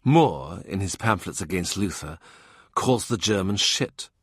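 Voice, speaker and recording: Male audiobook narrator, low voice, unhurried literary read, close dry mic. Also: expressive, fairly bright tone, breathy edge, dry recording. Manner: measured, dry reading with quoted dialogue.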